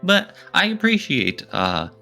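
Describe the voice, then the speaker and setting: A man's voice: high voice, huge pitch swings, takes it slowly, vocal fry, pushed and bright, soft background music. A man co-hosting a podcast in casual discussion, posing questions and giving his opinions. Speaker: podcast co-host.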